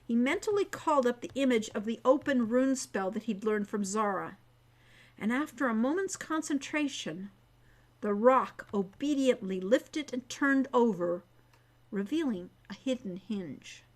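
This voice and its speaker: A woman's voice: a little high, lively intonation, a little slow. A woman reading a scripted fantasy story as an audiobook narrator. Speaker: author reading own audiobook.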